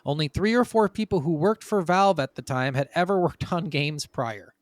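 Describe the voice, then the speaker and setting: A man's voice: fairly high pitch, normal speed, no room sound. A man hosting a podcast, talking casually and emphatically from his notes, with plenty of swearing. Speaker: podcast host.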